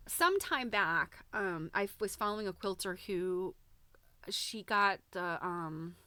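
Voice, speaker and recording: A woman host chatting casually on a livestream in an informal, halting way, thinking aloud as she goes. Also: mid-range voice, lively intonation, sharp tone, clean tone, no echo. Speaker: host of a live quilting book show. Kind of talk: casual livestream chat, commenting while browsing a book.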